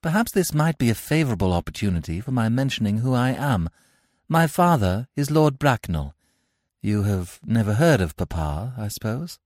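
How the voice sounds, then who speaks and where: A man's voice: mid-range voice, very animated, moderate pace, darkish tone, dry recording, studio quality, voice pushed up, natural voice. A man voicing Gwendolen, a haughty young society woman who talks in a stiff, formal, condescending way. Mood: grand. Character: haughty young society lady, polite but cutting.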